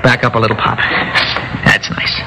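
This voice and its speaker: An actor playing a jumpy young hoodlum who barks threats in tough street slang, in 1960s radio drama style. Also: male voice, measured pace, lightly acted. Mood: tense, bossy. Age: young adult. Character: jumpy young hoodlum holding a shopkeeper hostage. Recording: noisy old radio recording.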